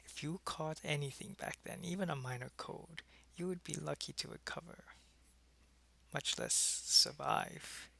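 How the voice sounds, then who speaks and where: A man playing a wistful, friendly, centuries-old vampire in an intimate, soft-spoken ASMR roleplay, talking casually and often slipping toward a whisper. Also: on the high side, a little slow, gravelly, exaggerated voice. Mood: wistful, reminiscing.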